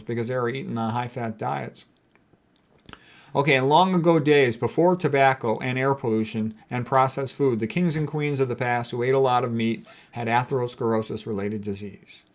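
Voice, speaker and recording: A man with a mid-pitched voice lectures informally in the role of a doctor. He explains his material in a blunt, conversational way, moving between reading aloud and commenting off the cuff.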